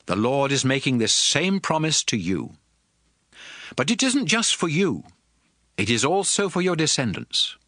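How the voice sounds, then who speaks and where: A man's voice: mid-range voice, sharp tone, dry recording, clean studio sound. An actor playing a solemn Hebrew prophet addressing his nation in earnest oratory for a dramatized Bible. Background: music.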